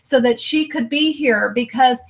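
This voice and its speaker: An upbeat American woman hosts a live stream interview show, pitching her sponsor and guest with lots of enthusiasm. Her voice sits a little high. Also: unhurried. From United States. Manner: upbeat, promotional. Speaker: host.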